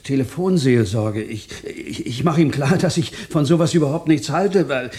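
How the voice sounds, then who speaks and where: Brief: male actor as a flustered comic, stumbling over every word, mid-pitched. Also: moderate pace, a little mellow, natural voice. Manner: stammering, hesitant, full of fillers. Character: nervous Western comedian, stammering. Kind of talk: 1990s radio play acting.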